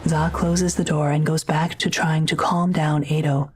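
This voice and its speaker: A woman narrator with a low-pitched voice. She reads scripted audio drama narration, describing each action. There is faint noise in the recording. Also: flat delivery, unhurried, a little mellow.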